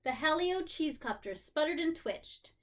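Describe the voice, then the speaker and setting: A woman teacher reading a children's picture book aloud expressively, with deliberate dramatic phrasing and stretched key words. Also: sits high, animated, a bit bright, far from the mic.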